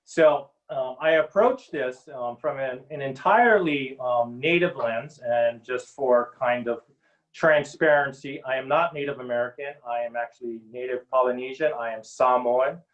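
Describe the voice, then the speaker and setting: A middle-aged man from Hawaii speaking earnestly as a panel guest on a video call, with many pauses and ums. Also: medium pitch, lo-fi sound. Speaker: panel guest, Native policy advisor. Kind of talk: webinar panel talk.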